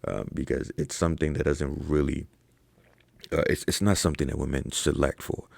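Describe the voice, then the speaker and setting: A man's voice: very deep voice, brisk, vocal fry, dry recording. A young man talking casually to camera as a fitness coach, profane and slangy, with lots of fillers and "right?" tags. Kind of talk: casual ranking commentary to camera.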